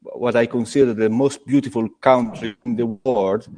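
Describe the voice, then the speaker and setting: Halting man with reverb. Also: mid-range voice, steady tone, darkish tone. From Italy. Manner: halting, many restarts and fillers. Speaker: craft brewer, guest. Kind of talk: casual video call chat.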